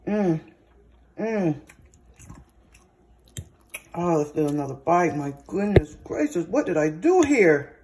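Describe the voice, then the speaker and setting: Hoarse woman cooking on camera. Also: fairly low pitch, animated, slow, pauses often, darkish tone, a little hiss or hum. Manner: chatty, talks to viewers as y'all, savoring mm sounds. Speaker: cooking vlogger. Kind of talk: casual cooking vlog with tasting. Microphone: phone or camera mic, recording alone in a kitchen.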